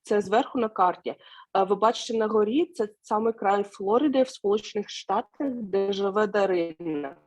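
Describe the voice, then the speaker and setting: A woman, a native Ukrainian speaker, hosting and interpreting informally on a video call in a halting, hesitant way. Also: medium pitch, a little mellow. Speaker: host and interpreter.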